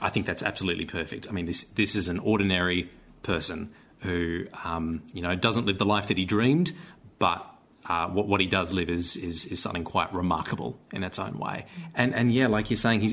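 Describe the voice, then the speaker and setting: Australian man, slightly deep voice, casual interviewee who picks words carefully and haltingly. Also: a bit bright. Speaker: theatre-maker, interview guest.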